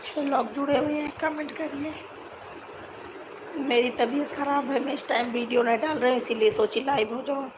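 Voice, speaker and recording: A hoarse woman with a cold, chatting casually on her live stream from home while lying down, and repeatedly asking viewers to comment. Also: high voice, fairly even intonation, moderate pace, background noise. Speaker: YouTube folk singer hosting a live stream.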